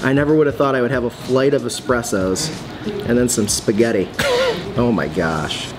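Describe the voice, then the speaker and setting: A man in his forties vlogging in a bright coffee shop, excitable and gushing, laughing often as he tastes dishes. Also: medium pitch, moderate pace, over loud music. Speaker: food vlogger. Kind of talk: casual food review chat. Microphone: phone camera at arm's length.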